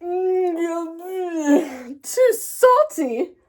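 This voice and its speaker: A woman puts on a polite neighbour lady for a children's storybook. The voice is high and highly animated, gushing and exaggerated in every phrase. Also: very slow, heavily acted. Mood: disgusted. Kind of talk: children's storybook read-aloud.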